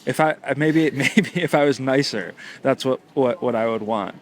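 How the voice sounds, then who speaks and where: A man's voice: mid-range voice, normal speed. A man from the United States co-hosting a podcast, talking in long winding sentences with a teasing, thoughtful manner. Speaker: podcast co-host. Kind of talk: casual conversational chat.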